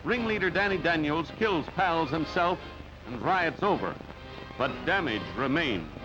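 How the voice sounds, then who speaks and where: A man's voice: a little high, animated, a little slow. A man reads scripted newsreel narration in clipped, dramatic announcer phrases. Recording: dull band-limited newsreel audio, loud music. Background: loud music.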